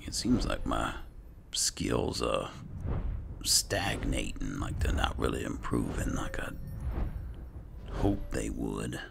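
Very deep gravelly man, muttering. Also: very animated, slow, pauses often, noisy background. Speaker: gaming host roleplaying his character. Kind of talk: roleplay gameplay narration, musing aloud. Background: music.

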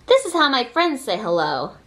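A woman reading a children's picture book aloud with expressive, deliberate phrasing. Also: on the high side. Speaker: read-aloud reader.

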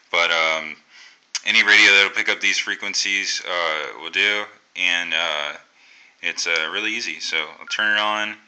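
An American man with a very low, smooth voice talks through a hobby tutorial. His tone is strikingly bright and crisp, and he feels his way haltingly through casual explanations. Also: rough recording. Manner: halting, thinking aloud. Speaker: amateur radio hobbyist presenting a tutorial. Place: indoors at a table.

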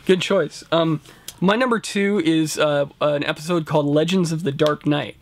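A middle-aged man co-hosting a casual top-five discussion, explaining his picks in a halting, conversational way. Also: a little high, slight background noise. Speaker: co-host of a film and TV review show. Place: indoor room lined with shelves of books and discs.

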